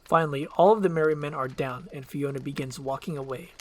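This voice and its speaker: A woman's voice: low voice, fast, a little mellow. A woman reading scripted stage directions as a narrator. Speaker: narrator and voice actor.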